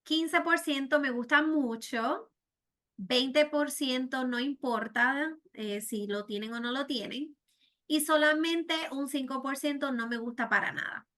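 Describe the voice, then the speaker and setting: Puerto rican lady giving a webinar talk, high bright voice, lots of eh and verdad. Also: measured pace. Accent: Puerto Rican Spanish. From Puerto Rico. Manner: earnest, with frequent "eh" fillers and "¿verdad?" tags. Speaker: program director presenting a webinar. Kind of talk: presenting to healthcare providers.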